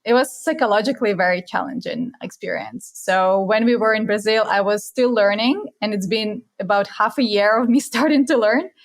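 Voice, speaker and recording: A woman's voice: mid-range voice, brisk, few pauses. Earnest Russian-accented woman guest. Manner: earnest, reflective, slightly non-native phrasing. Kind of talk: casual interview chat.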